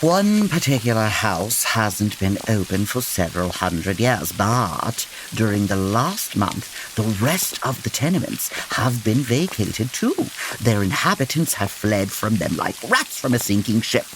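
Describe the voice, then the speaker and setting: A man playing a logical, formal gentleman detective with theatrical, proper diction in a comedic audio drama. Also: lowish voice, very animated, measured pace, few pauses, a little hiss or hum, full character voice, low-quality recording. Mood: intrigued. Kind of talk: comedic old-time radio drama acting.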